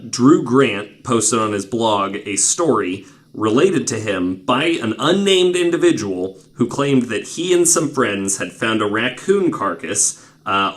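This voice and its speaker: Guy hosting a podcast, lowish voice, telling stories casually, kinda skeptical and sweary. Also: fairly bright tone. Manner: informal, profane, wryly skeptical. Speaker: podcast host. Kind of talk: casual storytelling to co-hosts.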